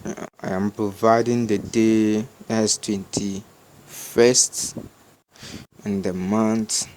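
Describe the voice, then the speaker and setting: A man with a Ghanaian accent gives unscripted step-by-step instructions in a halting, repetitive way, as a tutorial host. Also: fairly low pitch, little pitch movement, darkish tone, low-quality recording.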